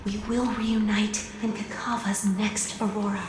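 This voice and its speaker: Woman playing earnest female memory figure, unhurried, gentle, heavy echo, background noise, video game. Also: mid-range voice, little pitch movement, close to an everyday voice. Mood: solemn, earnest. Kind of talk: scripted video game dialogue. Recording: very echoey, clear background ambience.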